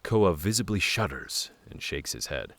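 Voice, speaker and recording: A man's voice: sits low, lively intonation, normal speed, a bit bright, no echo, exaggerated voice. A man narrating a fantasy audio drama in a scripted storybook style. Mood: measured.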